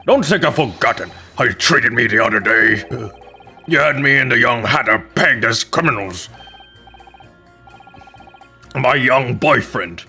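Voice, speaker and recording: A man's voice: medium pitch, lively intonation, a little slow, long pauses, sharp tone, faint music behind, exaggerated voice. A man voicing a resentful, cocky banker on the witness stand in a courtroom game, with a blunt, pointed manner.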